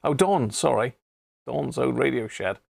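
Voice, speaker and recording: A man hosting a casual live stream about radio repairs, croaky today, chatting and greeting viewers by name. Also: mid-range voice, expressive, measured pace, a little mellow, dry recording, clean studio sound. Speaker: radio repair livestream host.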